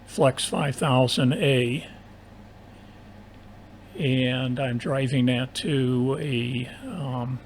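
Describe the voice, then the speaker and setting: An American man in a casual, technical ham radio conversation, explaining in a halting, rambling way over an AM radio transmission. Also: mid-range voice. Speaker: amateur radio operator. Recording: noisy AM shortwave radio transmission.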